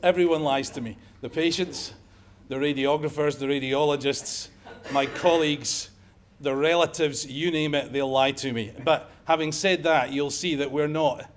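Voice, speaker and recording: A middle-aged Scottish man lecturing at a conference in a fairly high voice. He talks in a wry, conversational way and slips jokes into his points. A lapel mic picks him up in a lecture room. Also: steady tone, normal speed, a little hiss or hum. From Scotland. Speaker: emergency medicine professor giving a lecture. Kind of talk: conference talk.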